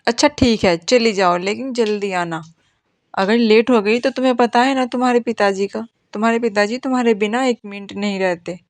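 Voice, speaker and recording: A woman's voice: on the high side, normal speed, no echo, close to an everyday voice. A woman voicing cartoon dialogue as a fretful mother and her headstrong young daughters. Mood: fretful, scolding.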